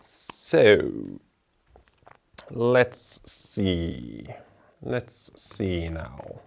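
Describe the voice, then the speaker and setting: A man's voice: deep voice, sing-song, drawn-out words, gravelly, a little mellow, a little hiss or hum. A man hosting a board game show, talking through the rules and each move of a solo playthrough in a chatty way.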